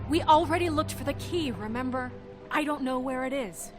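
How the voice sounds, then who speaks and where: An actress playing an earnest, stressed, assertive office administrator in a video game, firm and practical. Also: female voice, sits high, normal speed, faint music behind, lightly acted. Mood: firm, exasperated. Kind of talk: naturalistic video game acting.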